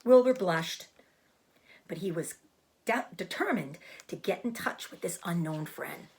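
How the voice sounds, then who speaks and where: A woman reading a children's book aloud like a teacher, narrating expressively and clearly for young listeners. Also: fairly low pitch, unhurried. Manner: expressive, explains hard words. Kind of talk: storybook read-aloud narration.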